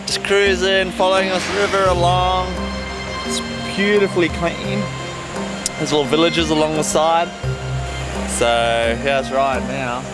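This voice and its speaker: A man's voice: a little high, steady tone, a little slow, over loud music. A man chatting casually as he travels, with laid-back, slangy banter. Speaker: travelling cyclist and presenter. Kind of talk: casual chat while travelling.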